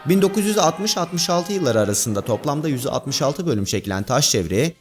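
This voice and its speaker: A man's voice: fairly high pitch, very animated, fairly quick. A man hosting and narrating a scripted countdown video. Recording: music bed under voice. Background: background music.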